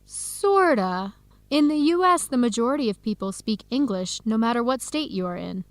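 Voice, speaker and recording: Young American woman researcher, upbeat, chatty, expressive, fairly high voice, dry studio sound, radio drama. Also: normal speed, no room sound. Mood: earnest, explaining. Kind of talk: scripted radio drama dialogue for English learners.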